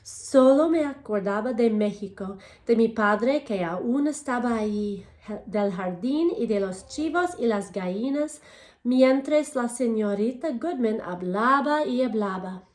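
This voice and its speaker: A woman teacher reading a picture book aloud to children in English and then Spanish, pausing to ask them questions. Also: on the high side. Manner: expressive storytelling, asks children questions. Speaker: elementary school teacher. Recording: echoey room.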